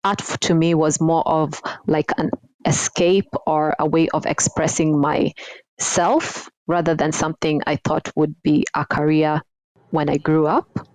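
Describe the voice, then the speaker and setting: A woman from Kenya, a guest designer, answering interview questions in a reflective, unhurried way with frequent ums. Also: sits low, flat delivery, a little mellow, dry recording, poor audio. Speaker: guest, surface pattern designer.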